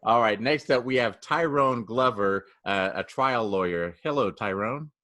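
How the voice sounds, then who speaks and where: A man's voice: fairly low pitch, a little slow, fairly bright tone. A man moderating a panel on a video call, making friendly and polite introductions.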